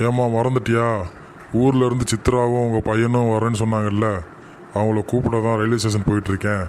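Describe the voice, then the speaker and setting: Male actor as kindly cartoon father, medium pitch, family-story dialogue, faint background noise. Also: little pitch movement, a little fast, a little mellow, close to an everyday voice. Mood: explaining. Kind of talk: animated kids' story dialogue.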